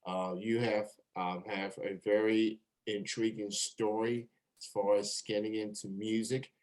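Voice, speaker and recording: Male interview host, lowish pitch, casual, halting delivery, repeated words. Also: flat delivery, a little mellow.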